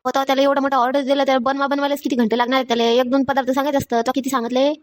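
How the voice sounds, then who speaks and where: Woman voicing an impatient, rapid-fire cartoon village housewife. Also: high-pitched, level tone, lightly acted. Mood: exasperated. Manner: complaining, colloquial. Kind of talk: Marathi comedy cartoon dialogue.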